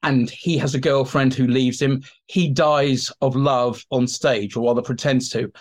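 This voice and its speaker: Guy with an average pitched voice doing expert chat in an interview, informal history explainer, acts bits out. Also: fairly even intonation, a little slow. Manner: chatty, informal, acts out quotes and asides. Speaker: expert guest.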